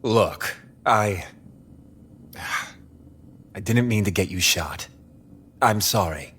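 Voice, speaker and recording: Grudging survey member, tense, halting, lower-pitched, dramatized audiobook, background noise. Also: male voice, fairly bright tone. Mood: reluctant, defensive.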